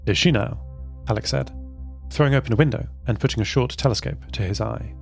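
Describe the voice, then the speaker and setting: Creaky-voiced man narrating a story. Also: mid-range voice, fast, a little mellow. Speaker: narrator of a fiction podcast. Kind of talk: scripted first-person story narration. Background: music.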